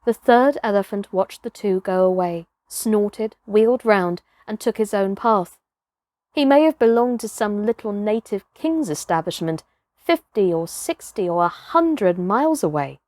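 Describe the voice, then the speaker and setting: A woman's voice: mid-range voice, expressive, unhurried, darkish tone, no echo. A woman reading a children's story as a dramatic narrator, with theatrical, emphatic phrasing.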